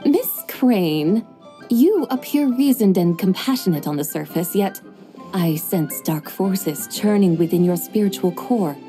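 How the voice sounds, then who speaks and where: A woman's voice: medium pitch, animated, unhurried, dark tone, soft background music, close to an everyday voice. A woman voicing a drowsy, formal mystic woman with grand, old-fashioned manners in a game cutscene. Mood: stately.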